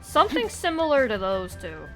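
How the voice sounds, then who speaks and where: A woman's voice: high-pitched, huge pitch swings, normal speed, fairly bright tone. A woman game streamer giving casual live commentary, informal, musing and joking as she goes. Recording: game music behind the voice.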